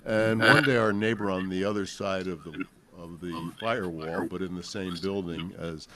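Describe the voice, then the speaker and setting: An older man with an American accent recounts family memories to an audience in a halting, deliberate, reflective way. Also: deep voice, steady tone, very noisy. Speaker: panel speaker sharing family recollections. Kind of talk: unscripted storytelling to an audience.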